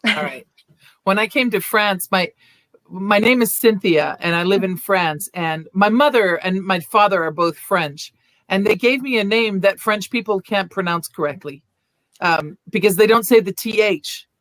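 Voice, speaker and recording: A woman's voice: mid-range voice, normal speed, a bit bright, dry recording. A woman co-hosting an interview over a video call, playful and telling stories. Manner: playful, storytelling. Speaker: podcast co-host. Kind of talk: casual interview chat.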